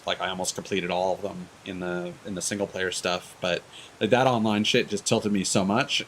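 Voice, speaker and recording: A man with a somewhat deep voice talks off the cuff as a game streamer. His delivery is hesitant and discursive, doubling back on phrases. A light background hiss runs under the recording. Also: a bit bright, rough recording. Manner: hesitant, discursive, stop-and-start phrasing, casual swearing. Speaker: video game streamer, host. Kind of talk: casual rambling chat while streaming a game.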